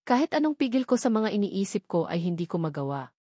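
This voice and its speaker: A woman's voice: medium pitch, sing-song, fairly quick, mellow, no room sound, studio quality. A woman with a Filipino accent reading a first-person romance novel as scripted audiobook narration.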